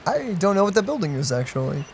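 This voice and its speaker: A co-host giving casual, slangy, excited commentary on a video game. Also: medium pitch, quick pace, vocal fry, slight background noise. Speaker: let's play co-host.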